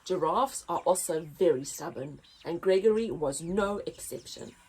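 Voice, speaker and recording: A woman reading a children's story aloud as a story time host, with expressive, dramatic emphasis. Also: fairly low pitch, measured pace, husky, poor audio.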